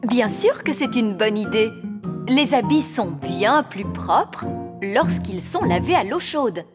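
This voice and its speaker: A self-assured fairy nanny from a children's cartoon. She is brisk and bossy, with a high, very melodic delivery. Music plays clearly behind her. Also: very animated. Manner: brisk, know-it-all. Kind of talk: French cartoon dubbing.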